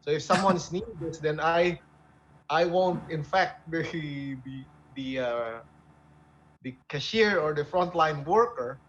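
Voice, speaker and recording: Male co-host, slightly high voice on a muffled line. Hesitant, conversational explainer who keeps checking in. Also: takes it slowly, a bit bright. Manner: checks with "right?", repetitive, hesitant. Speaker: radio co-host. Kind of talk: casual explanatory chat. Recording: dull narrow audio, faint background noise.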